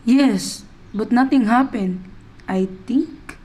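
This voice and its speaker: Woman voicing cornered young guy, high and really slow, hesitant, radio play. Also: darkish tone, slightly rough. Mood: evasive. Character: nervous, evasive young man, hesitant and cornered. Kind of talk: amateur radio play acting.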